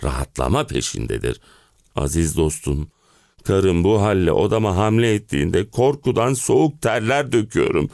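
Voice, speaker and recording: A man giving a theatrical, expressive dramatic reading of a stage play, as an actor narrating audio stories. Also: sits low, normal speed, gravelly, no echo, clean studio sound. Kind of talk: dramatic performed reading of a one-act play.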